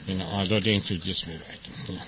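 A man in his sixties from Iran with an Iranian accent, giving a halting, self-deprecating personal testimony as the main speaker at a recovery meeting, full of fillers and restarts. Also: deep voice, steady tone. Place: meeting hall with an audience. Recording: dull lo-fi recording with faint noise.

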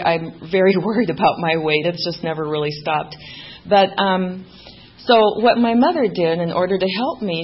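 A woman's voice: mid-range voice, dull audio, slight background noise. Candid woman giving recovery testimony. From Mexico. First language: Spanish. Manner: candid, self-deprecating humor with many fillers. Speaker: main speaker at a recovery meeting. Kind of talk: personal testimony to a group.

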